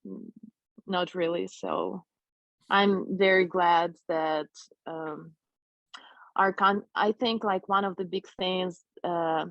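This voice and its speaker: Low-voiced woman on a video call. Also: steady tone, slow pace, vocal fry, pauses often, no echo. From eastern Ukraine. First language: Russian. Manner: earnest, searching for words. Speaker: interview guest. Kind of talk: reflective personal account. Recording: dull lo-fi video call audio.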